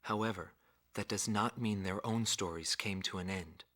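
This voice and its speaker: A man playing a sober reporter, narrating a war documentary in a game with solemn, deliberate delivery. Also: fairly low pitch, bright, forceful tone.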